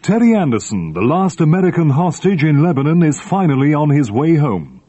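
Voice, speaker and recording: Lively mellow man reading news headlines. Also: fairly high pitch, a little fast, slightly rough, no echo. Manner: formal. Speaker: newsreader.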